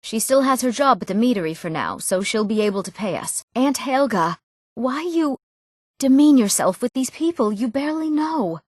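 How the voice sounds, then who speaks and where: An actress playing an eager, earnest young townswoman in a fantasy video game, speaking her scripted lines expressively and clearly. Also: mid-range voice, expressive, a little fast, few pauses, no room sound, clean studio sound, lightly acted. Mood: concerned, pleading.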